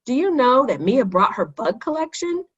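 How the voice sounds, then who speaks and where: A woman's voice: sits high, big pitch swings, moderate pace, breathy edge, muffled recording, voice pushed up, heavily acted. A woman voicing a friendly, cheerful schoolboy who encourages teamwork in a children's storybook. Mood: encouraging. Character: friendly, cooperative schoolboy, cheerful team player.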